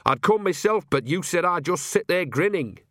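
Storybook role: bossy old village witch played by a man, piercing and higher-pitched, teasing, earthy and blunt. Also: lively intonation, normal speed, a bit bright, dry recording, clean studio sound. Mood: urgent, bossy. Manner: rustic colloquial speech, teasing, blunt. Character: bossy, cheerful, bawdy old woman witch.

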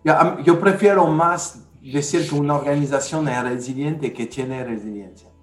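A man's voice: a little high, moderate pace, echoey room. A man from France with a French accent tinged with Dominican speech, hosting a casual panel talk and hesitating often with fillers and restarts. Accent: French, with Dominican touches. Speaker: podcast host.

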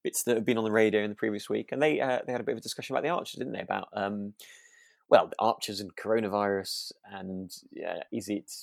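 A man with a low, creaky voice, recorded close and dry. He co-hosts a podcast in a relaxed conversational style, feeling his way tentatively through his points. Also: fast, no echo. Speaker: podcast co-host. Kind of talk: relaxed chat discussing a radio drama.

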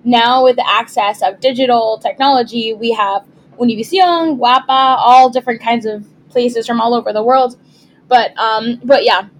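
A young woman hosting a podcast in casual chat, relaxed and easygoing with frequent "um" fillers. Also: a little high, normal speed, slight background noise. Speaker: podcast host.

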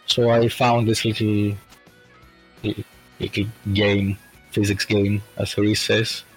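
A man from Greece chatting as a podcast guest, speaking slowly and hesitantly with lots of fillers. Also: on the low side, little pitch movement, breathy edge, faint music behind, poor audio. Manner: slow and hesitant, many fillers. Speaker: podcast guest, bot creator. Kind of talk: casual chat.

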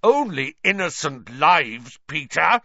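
A grim, embittered fugitive from a storybook as a male reader plays him. The voice is harsh and croaking, with an intense delivery that varies widely in pitch. It sounds close, dry and a little dull. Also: expressive, measured pace, sharp tone, no room sound, exaggerated voice. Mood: furious. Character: gaunt, embittered man, harsh and vengeful fugitive. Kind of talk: acted audiobook dialogue.

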